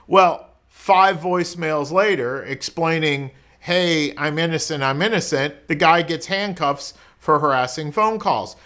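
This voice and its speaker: Guy lawyer explaining stuff casually, kinda higher pitched voice, conversational, asks rhetorical questions. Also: measured pace, hardly any pauses, bright, forceful tone. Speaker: criminal defense lawyer explaining law. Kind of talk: informal explainer talk to camera.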